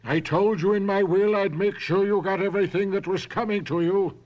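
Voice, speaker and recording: An actor playing a vengeful, taunting body-stealing professor living in a ventriloquist's dummy, in a radio drama. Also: male voice, high-pitched, steady tone, a little mellow, dull audio, lightly acted. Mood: gloating, cold. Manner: taunting, theatrical, slow and deliberate.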